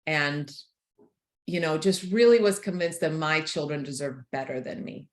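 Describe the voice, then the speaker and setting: A woman in her forties from Croatia, telling her life story frankly as a podcast guest, laughing easily and going quiet on painful parts. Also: sits low, moderate pace. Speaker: guest, author and speaker. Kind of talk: candid personal storytelling. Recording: roomy echo.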